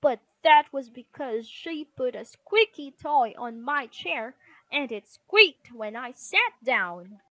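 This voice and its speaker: A woman voicing a defensive young boy bear cub in a storybook, loud, whiny and protesting. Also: high voice, lively intonation, takes it slowly, no room sound, voice pushed up, exaggerated voice, poor audio. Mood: aggrieved.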